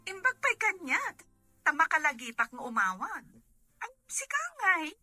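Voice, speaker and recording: Woman playing sharp, high-voiced Filipino wife. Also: sing-song, a little slow, dry recording, soft background music, exaggerated voice. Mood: evasive. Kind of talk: comedy-drama acting.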